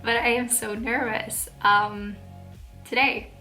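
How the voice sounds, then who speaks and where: A woman's voice: fairly high pitch, fairly even intonation, takes it slowly, bright, forceful tone, soft background music, poor audio. A Swedish woman in her twenties talking to camera at home in English, nervous and giggly, with many fillers and restarts. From Sweden. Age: 20s. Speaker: vlogger. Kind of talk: casual talk to camera. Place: home, indoors.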